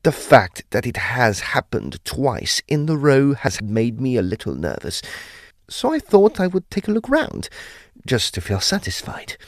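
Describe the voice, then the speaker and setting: An actor playing a polite, uneasy adult son who recounts a haunting in formal, old-fashioned, expressive storytelling for an audio drama. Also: male voice, mid-range voice, measured pace, no room sound, clean studio sound. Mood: nervous, apologetic.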